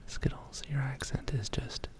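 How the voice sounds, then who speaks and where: A man from Texas hosting a whispered ASMR livestream, chatting softly and answering his chat from a studio condenser microphone. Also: unhurried. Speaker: ASMR streamer. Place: home studio. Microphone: studio condenser mic.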